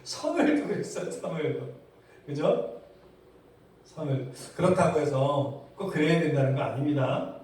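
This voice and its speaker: A man's voice: fairly high pitch, expressive, moderate pace, a little mellow. A man preaching a sermon, putting rhetorical questions to his congregation. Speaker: pastor preaching. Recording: very echoey hall, distant mic.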